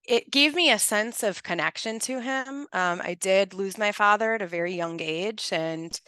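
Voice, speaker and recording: Clear-voiced woman, measured guest. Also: medium pitch, pushed and bright, dry recording. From Chicago, USA. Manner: thoughtful, measured storytelling. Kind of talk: conversational interview answers.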